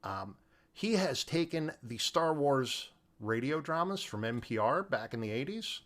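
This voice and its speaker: A man's voice: medium pitch, very animated, measured pace, a bit bright. Middle-aged man, joking podcast co-host. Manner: joking, slangy, emphatic. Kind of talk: casual chat.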